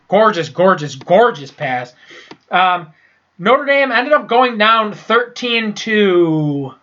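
A young man with a high, bright, edgy voice, stuffed up from a sinus infection. He rambles through a casual sports recap, blunt and profane, with a slightly muffled recording. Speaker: sports podcast host.

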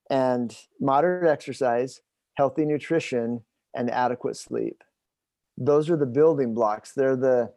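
A man in his forties in a conversational interview, explaining things in a halting, thinking-aloud way. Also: mid-range voice, mellow, no room sound. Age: 40s. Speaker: host interviewing his father.

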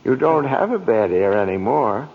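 An actor playing a kindly, innocent, very old guardian angel in an old-time radio drama, speaking in a quaint, genteel, earnest manner. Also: male voice, mid-range voice, sing-song, normal speed, slight background noise. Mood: mysterious, explaining. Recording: muffled old radio recording, long reverb.

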